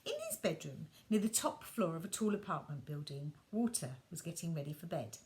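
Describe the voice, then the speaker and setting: A woman reading a children's bedtime story aloud, gentle and expressive, sometimes dropping her voice soft. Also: sits low, fairly quick.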